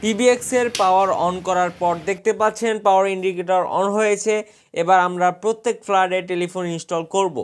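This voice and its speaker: A man's voice: high voice, steady tone, brisk, smooth. A man narrating a scripted how-to tutorial, explaining step by step. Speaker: tutorial host.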